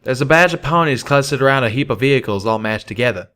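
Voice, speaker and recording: A man plays a folksy pegasus cowboy from a fantasy storybook. He talks in loose, colloquial phrases, pitched somewhat above a low male narrating voice. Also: lively intonation, fast, a bit bright, lightly acted. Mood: alert.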